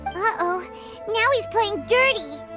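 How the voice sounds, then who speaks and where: A piercingly high, childlike squeak for a tiny game companion. She is excitable and blunt, cartoonish in delivery, with music playing behind. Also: slow pace, heavily acted. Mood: alarmed. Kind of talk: cartoonish video game voice acting.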